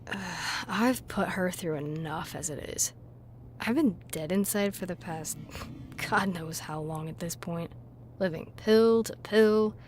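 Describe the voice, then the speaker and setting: A man voicing a weary, downcast young woman in a visual novel game, with a halting delivery. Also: high voice, slight background noise, voice pushed up. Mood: despondent.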